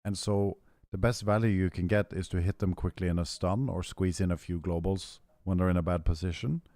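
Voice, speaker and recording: A young man streaming a game, chatting casually with his viewers, hoarse and clearing his throat as he rambles. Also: low voice, dark tone, dry recording, clean studio sound. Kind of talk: casual stream chat, answering chat.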